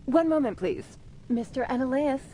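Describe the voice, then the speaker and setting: A woman playing a polite, brisk but guarded corporate assistant in a video game, formal and composed. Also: high voice, expressive, mellow. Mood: helpful, professional. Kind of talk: video game cutscene acting.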